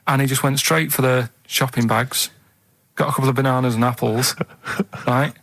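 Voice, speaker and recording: A Mancunian man tells a story in a meandering, hesitant way, with plenty of ums and trailing asides. He is close to a studio microphone in a dry room, his pitch sitting in the middle. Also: steady tone, moderate pace, vocal fry, fairly bright tone, no room sound, poor audio. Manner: hesitant, full of ums and "and stuff". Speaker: radio show regular. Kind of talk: rambling radio chat. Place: radio studio.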